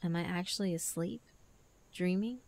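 A woman voicing a gentle, ancient female fiend in a visual novel, wistful and weary, with a slow, solemn delivery. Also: fairly low pitch, vocal fry. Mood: tender, disbelieving. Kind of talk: dramatic visual novel narration.

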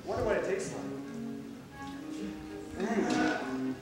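A man's voice: high-pitched, lively intonation, slow pace, loud music behind the voice. Man playing a fussy comic manservant in an echoey hall. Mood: greedy. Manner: indignant, fussy, exclamatory. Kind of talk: broad live stage farce acting. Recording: echoey noisy hall from far off.

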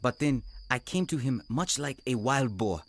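An actor playing an earnest young southern African convert turned preacher in a radio drama. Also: male voice, medium pitch, lively intonation, moderate pace. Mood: earnest, reflective.

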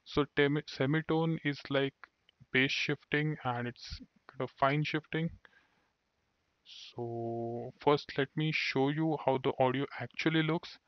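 Flat slow-talking man explaining software. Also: mid-range voice, no echo. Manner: step-by-step explaining with restarts. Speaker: software tutorial instructor. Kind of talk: screen-recorded tutorial voice-over. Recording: dull dry lo-fi voice-over.

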